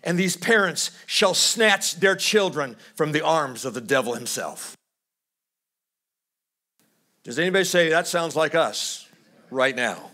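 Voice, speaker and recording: A middle-aged man from California preaching a sermon at a church lectern, swinging from loud, high exhortation to hushed, low storytelling and restarting his phrases as he goes. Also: sing-song, unhurried, pauses often. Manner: repeats words as he restarts phrases. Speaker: pastor. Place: church stage at a wooden lectern.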